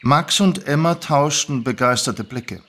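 A male narrator with a medium-pitched voice. He reads a story aloud in an expressive, scripted audiobook style. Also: measured pace.

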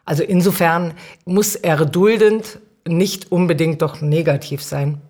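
A woman's voice: fairly low pitch, measured pace, a little mellow. A woman speaking as a podcast guest in casual, reflective conversation.

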